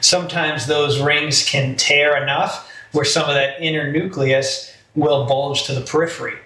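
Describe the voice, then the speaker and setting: Bright-voiced man explaining in a roomy space. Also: a little high, steady tone, a little slow. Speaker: medical expert guest on a health podcast. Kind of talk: conversational medical explanation. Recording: roomy echo, faint background noise.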